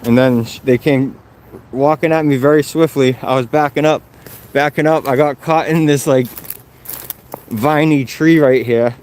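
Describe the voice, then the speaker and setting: A man's voice: mid-range voice, fairly even intonation, unhurried, darkish tone, a little hiss or hum. A man with a Boston accent, speaking through a face mask, talking politely and upbeat to people and to his viewers. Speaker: video creator filming police encounters. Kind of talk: casual vlog commentary.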